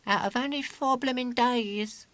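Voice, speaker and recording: A man's voice: very high voice, unhurried, a bit bright, no room sound, pitched above the performer's own voice. A man voicing a small, gloomy, plaintive boy who follows his friend, in a children's audiobook.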